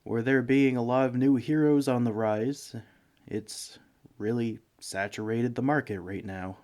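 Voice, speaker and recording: A man's voice: mid-range voice, unhurried, darkish tone, close to an everyday voice. A man playing a broke, bumbling young villain neighbor in a comedic audio roleplay, rambling sheepishly and hesitantly as he talks to one listener. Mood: persuasive, boastful. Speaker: roleplay voice actor.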